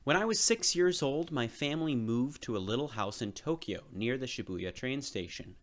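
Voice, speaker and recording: A man reading a children's picture book aloud as an expressive storyteller, with measured phrasing and emphasized words. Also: mid-range voice.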